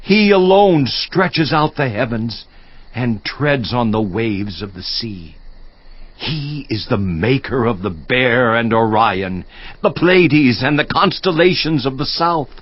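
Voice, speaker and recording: Casting: anguished biblical sufferer, highish voice, very expressive, theatrical, pleading with God in a dramatized scripture recording. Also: male voice, highs cut off, heavily acted. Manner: theatrical, slow, swinging from outcry to near whisper.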